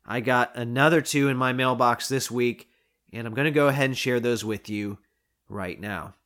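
Guy hosting a podcast, chatty and excited, kinda sick and losing his voice. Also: medium pitch, moderate pace. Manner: enthusiastic, chatty. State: coming down with a cold. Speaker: podcast host. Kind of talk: casual solo podcast talk.

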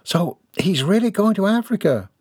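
A man's voice: high voice, sing-song, moderate pace, darkish tone, breathy edge, no echo, studio quality, pitched above the performer's own voice, exaggerated voice. A man voicing a kindly older woman of the house who frets and gushes fondly. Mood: worried. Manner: fretful, fond, gushing.

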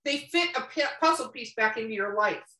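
Bright, high-voiced woman in a roomy space. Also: lively intonation, brisk. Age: around 50. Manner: opinionated, rambling, swears freely. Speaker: podcast co-host. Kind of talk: casual book chat. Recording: roomy, echoing sound.

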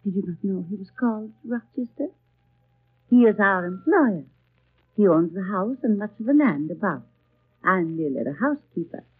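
An actress playing a kindly, chatty older housekeeper in a 1930s radio drama, husky, genteel and motherly. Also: mid-range voice, lively intonation, moderate pace. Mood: kindly, confiding. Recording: muffled, tinny 1930s radio broadcast.

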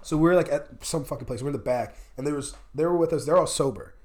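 A man's voice: on the high side, fast, a little mellow, slightly rough. A young man in casual chat, telling rambling stories with many fillers and swearing. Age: young adult.

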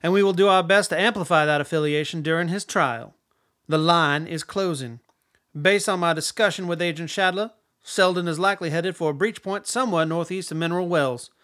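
An actor playing a proud, cold government secretary in a radio play, speaking in pompous, clipped, formal sentences. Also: male voice, a little high, brisk, fairly bright tone, natural voice. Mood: coolly commanding.

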